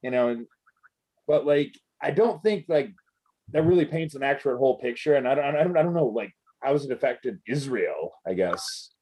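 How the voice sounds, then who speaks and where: A man's voice: mid-range voice, lively intonation, moderate pace, a little mellow, husky. A man co-hosting a podcast, interviewing casually in a rambling, slangy, sweary way. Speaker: podcast co-host.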